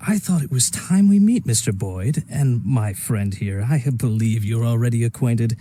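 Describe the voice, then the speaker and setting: Mellow, self-satisfied businessman gangster played by an actor. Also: medium pitch, lively intonation, normal speed, slight background noise, close to an everyday voice. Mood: wry, courteous. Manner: urbane, wry, leisurely courteous menace. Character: smooth-talking, self-satisfied male crime businessman. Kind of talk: naturalistic video game voice acting.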